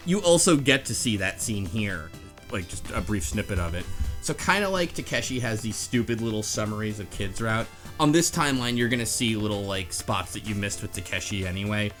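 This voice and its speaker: A man's voice: on the low side, animated, brisk, a bit bright. Man chatting over background music. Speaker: podcast host. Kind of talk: casual discussion of a game's story. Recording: music under the voice.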